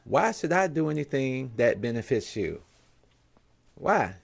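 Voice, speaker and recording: A man's voice: mid-range voice, very animated, a little slow, creaky. A man hosting a show, giving impassioned commentary with emphatic rhetorical questions. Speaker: show host.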